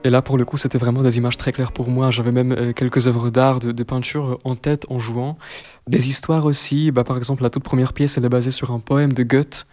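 A man in his twenties from Poland, a cellist answering interview questions in a second language, soft-spoken and hesitant with many fillers. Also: mid-range voice, level tone, brisk. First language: Polish. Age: 20s.

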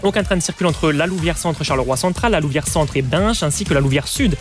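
Male traffic announcer, rapid delivery, slightly high pitch, live bulletin style, soft music behind. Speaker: radio traffic reporter.

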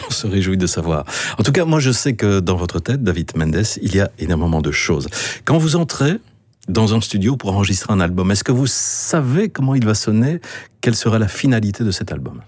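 A male radio host asks engaged questions in a low, husky voice. The recording is close and dry, with no room echo. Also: very animated, fairly quick, darkish tone. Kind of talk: radio interview.

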